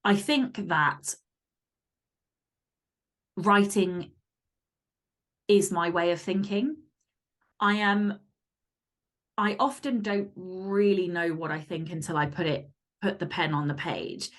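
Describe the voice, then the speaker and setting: A woman's voice: lowish voice, slow pace, long pauses, fairly bright tone. A woman chatting candidly as an interview guest, speaking in an emphatic, blunt and sweary way. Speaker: podcast guest, fiction author. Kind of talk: casual, candid interview chat.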